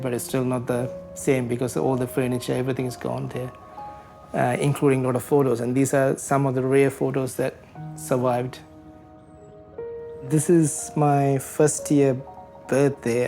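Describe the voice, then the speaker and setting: A young man from Sri Lanka, speaking as an interviewee about his life, hesitant with many pauses. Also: mid-range voice, gravelly. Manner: hesitant, many ums and uhs. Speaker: interviewee, community advocate. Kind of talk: recorded interview, reflective. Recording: background music under voice.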